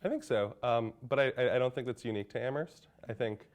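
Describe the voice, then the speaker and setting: A man's voice: medium pitch, fast talker. A young man, a college senior, giving earnest interview answers with frequent restarts and fillers. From United States. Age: young adult.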